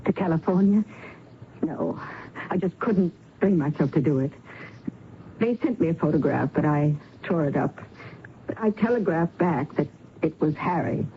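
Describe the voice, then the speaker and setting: Defensive, grieving widow, halting and indignant, old radio drama, muffled with background noise. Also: female voice, low-pitched, expressive. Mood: subdued, reluctant. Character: distraught, defensive widow of a missing man. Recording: dull, noisy old radio recording.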